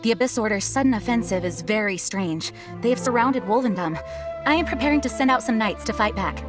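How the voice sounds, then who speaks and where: An actress playing a dutiful, composed knight commander in a video game, speaking formally and politely. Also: female voice, fairly high pitch, expressive, fairly quick, natural voice. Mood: earnest, concerned. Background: game music.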